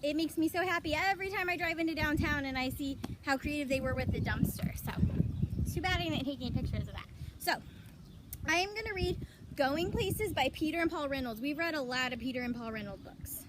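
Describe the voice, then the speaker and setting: High voiced lady teacher doing a kids storytime, chatty and expressive, loud noise in the background. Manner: expressive, chatty, pausing to explain pictures and words. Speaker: elementary school teacher reading to pupils. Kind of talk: children's picture book read-aloud with casual asides.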